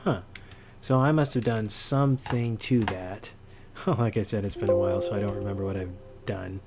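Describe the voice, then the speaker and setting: Low-voiced man casually thinking aloud. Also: moderate pace, loud music behind the voice. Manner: hesitant, thinking aloud, throat-clearing. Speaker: sound designer presenting a tutorial. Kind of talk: casual screen-recorded walkthrough.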